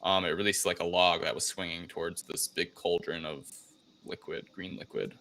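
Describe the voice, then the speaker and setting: Male podcast co-host, low and forceful, casual halting recap style. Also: fairly even intonation, creaky. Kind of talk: casual film review chat.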